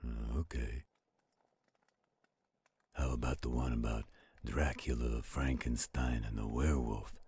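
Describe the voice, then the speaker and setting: A man playing a gentle, protective werewolf in a roleplay audio, telling bedtime stories in a slow, intimate, caring way. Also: fairly even intonation, dry recording, exaggerated voice. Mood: fond, hesitant. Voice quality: very deep, husky, gravelly growl. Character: gentle protective male werewolf, soothing storyteller to his partner.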